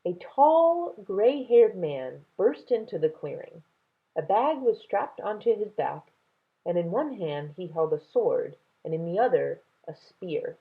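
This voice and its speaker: A woman narrates a children's story with dramatic pauses and emphasis. Her pace is unhurried and her melody very lively, heard through a narrow, tinny phone-like recording. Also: lowish voice, vocal fry, husky. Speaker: story reader. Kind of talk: expressive children's book read-aloud narration.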